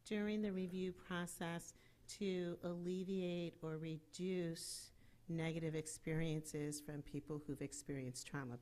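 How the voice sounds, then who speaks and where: A woman's voice: fairly low pitch, a little mellow. A woman from the program staff giving plain, informal introductory remarks to a meeting room.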